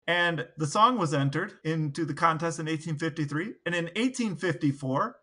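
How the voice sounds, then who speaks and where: A man's voice: fairly high pitch, sharp tone. A man co-hosting a podcast, telling anecdotes in a casual, wry, chatty way. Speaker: podcast co-host. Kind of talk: casual storytelling chat.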